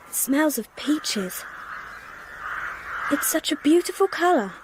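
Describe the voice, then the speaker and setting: A woman's voice: high voice, big pitch swings, slow pace, a little hiss or hum, heavily acted, poor audio. An actress playing a dreamy, trusting young girl in a radio play, speaking with earnest simplicity. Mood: solemn, resigned.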